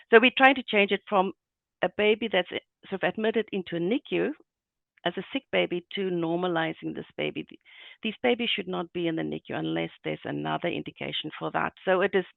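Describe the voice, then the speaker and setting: A man's voice: high voice, fairly quick, creaky, dry recording. A man with a South African accent, a neonatal nurse, explaining things earnestly in a remote interview. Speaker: neonatal nurse and guest presenter. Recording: tinny phone-like dry call audio.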